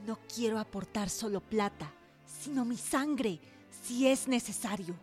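A woman's voice: on the high side, a little slow, a little mellow, soft background music, lightly acted. An actress playing a passionate, indignant young woman conspirator in a dramatic, earnest radio drama style. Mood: earnest, resolute.

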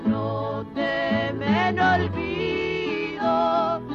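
Female ranchera singer, very high voice, drawn-out phrases, loud guitar. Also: animated. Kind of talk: ranchera-style singing. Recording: dull lo-fi song recording, loud guitar. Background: guitar music.

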